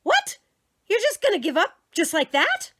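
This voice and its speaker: An exasperated storybook mother, firm and impatient as she haggles, played by a woman reading aloud. Her voice is high-pitched and bright, with a lively rise and fall. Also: measured pace, dry recording, clean studio sound, exaggerated voice. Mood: weary. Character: exasperated mother tidying toys.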